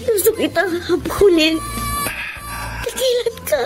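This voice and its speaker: A woman's voice: very high-pitched, animated, unhurried, full character voice. A woman acting a guilt-ridden, pleading young bride in a Tagalog radio drama, delivered with emotional melodrama. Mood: tearful, anguished. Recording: music bed behind the voice.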